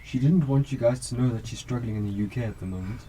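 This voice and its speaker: A man's voice: mid-range voice, quick pace, mellow, slight background noise, lightly acted, poor audio. A man acting a caring, dutiful police officer in an amateur radio drama. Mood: reassuring, serious.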